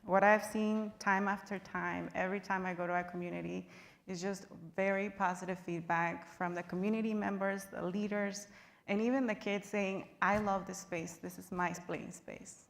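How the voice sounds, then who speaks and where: A woman from Guatemala speaking on a panel, earnest and measured with occasional fillers. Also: mid-range voice, fairly even intonation, vocal fry. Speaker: panelist, business partner. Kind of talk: panel remarks. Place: large convention hall. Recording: reverberant hall sound.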